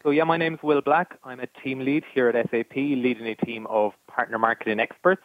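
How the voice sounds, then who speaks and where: Irish guy calling into a radio show, talks quick, dry phone sound. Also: mid-range voice, fairly even intonation, no echo. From Ireland. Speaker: guest panelist, marketing team lead. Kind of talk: casual radio interview. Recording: tinny phone line.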